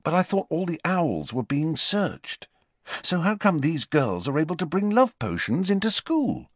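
Stubborn schoolboy voiced by a man, insistent, lively pitch, dry sound. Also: measured pace, dry recording. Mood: puzzled. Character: defensive teenage schoolboy, stubborn.

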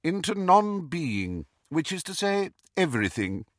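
A man's voice: on the high side, sing-song, a little slow, no room sound, studio quality, voice pushed up. A man voicing a stern, dignified female professor of witchcraft, precise, formal and icily impatient, in an audiobook. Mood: cold.

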